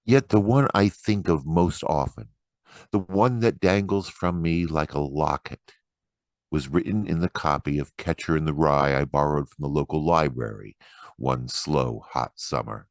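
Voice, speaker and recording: A man recites poetry in a deep register with unhurried, deliberate phrasing. His delivery is dramatic and expressive, captured close and dry. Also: creaky, no room sound. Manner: expressive, deliberate, acts out quoted remarks. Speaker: poetry reader.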